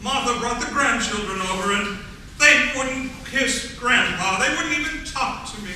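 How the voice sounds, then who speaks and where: Man playing a flustered old judge, bright high voice. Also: animated, unhurried, heavily acted. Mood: worried. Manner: hesitant, flustered. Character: flustered older male judge, a worried grandfather. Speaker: community theatre actor. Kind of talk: 1940s radio play acting. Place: community theatre stage performance. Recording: echoey hall, distant mic, faint noise.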